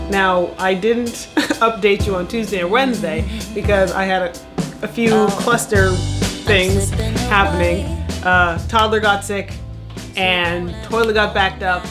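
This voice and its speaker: Chatty mom talking while cooking. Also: female voice, medium pitch. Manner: chatty, upbeat, laughs often while working. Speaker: vlogger, mom cooking dinner. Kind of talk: casual cooking vlog chat. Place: small home kitchen. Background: music and clattering pots and dishes.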